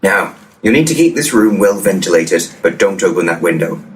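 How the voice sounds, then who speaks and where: A man's voice: low-pitched, lively intonation, normal speed. An actor playing a cocky, crude ghost-busting handyman in a comedy audio drama, pompous and matter-of-fact. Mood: blunt, smug. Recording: distant mic, clear background noise.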